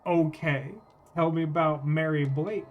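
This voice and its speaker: A man doing live game commentary, halting and rephrasing, with a wryly exasperated manner. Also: a little high, fairly even intonation, lo-fi sound, a little hiss or hum. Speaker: game streamer. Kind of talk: live game commentary mixed with reading dialogue aloud.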